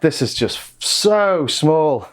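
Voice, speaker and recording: A man's voice: on the high side, expressive, extremely slow. A man giving a casual hands-on music gear demo, thinking aloud in an offhand way. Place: home music studio.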